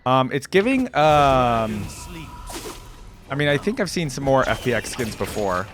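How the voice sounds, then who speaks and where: A man's voice: mid-range voice, very animated, measured pace, a bit bright, faint music behind. A man giving casual reaction commentary in an informal, slangy gamer way. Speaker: reaction video host.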